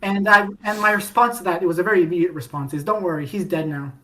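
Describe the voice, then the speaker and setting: A woman co-hosting a podcast, chatting casually about fandom in an animated storytelling way with mock-dramatic emphasis. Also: on the low side, fast. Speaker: podcast co-host.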